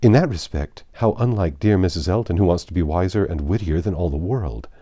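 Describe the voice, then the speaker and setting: A man voicing a lively, opinionated young gentlewoman from a classic novel, speaking in an arch, emphatic and formal way. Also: low-pitched, fairly quick, no echo, clean studio sound. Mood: wry, indignant.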